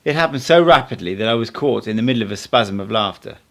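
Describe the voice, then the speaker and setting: A man reads scripted prose aloud as an audiobook narrator. His voice sits on the low side, and he delivers the text as a storyteller would. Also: expressive, fast, a bit bright. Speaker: amateur audiobook reader.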